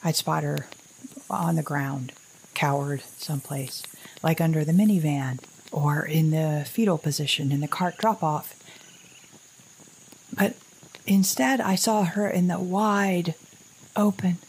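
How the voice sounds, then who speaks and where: An anxious middle-aged mother speaks in a lowish voice in an audio drama. She is halting and wry, slowly rambling and interrupting herself as she survives alone at the world's end, with noisy ambience around her. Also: darkish tone. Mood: haunted, tense.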